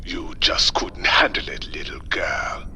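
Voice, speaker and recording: An actor playing a sly, menacing psychic assassin and pirate in a video game cutscene, with a cool, taunting manner and a breathy growl. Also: male voice, sharp tone, whispered, faint music behind. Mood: urgent, taunting. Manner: cool, taunting, drawn-out familiar address.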